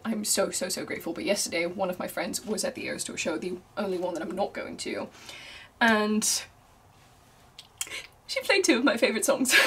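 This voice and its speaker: Young woman, Dutch native speaker, casual vlog chat, excitable, slightly strained voice. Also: medium pitch. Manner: gushing, excitable, laughs often. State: strained voice from screaming. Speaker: vlogger.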